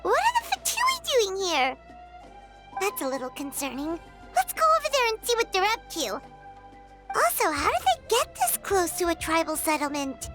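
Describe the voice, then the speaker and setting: A woman voicing a tiny, childlike fairy girl companion in a video game, squeaky, perky and earnest. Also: huge pitch swings, normal speed, exaggerated voice. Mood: wary, curious. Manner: perky, refers to herself in third person. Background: background music.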